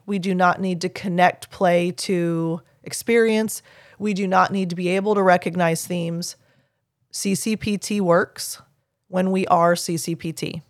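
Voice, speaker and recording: Low-voiced woman podcast host, dry sound. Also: dry recording. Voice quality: low speaking voice. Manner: explanatory, earnest, reads listener emails aloud. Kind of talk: teaching podcast, answering a listener's email.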